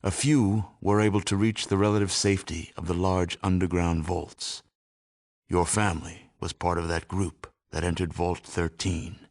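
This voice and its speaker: A deep-voiced game narrator, a fatalistic teller of a ruined world. He speaks in solemn, measured phrases. The tone is grave and ominous throughout. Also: little pitch movement.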